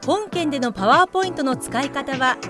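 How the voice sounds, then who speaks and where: Woman as radio drama narrator, high voice, composed n formal, explaining stuff over music. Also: animated, a little fast. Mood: composed, explanatory. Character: composed, matter-of-fact female narrator.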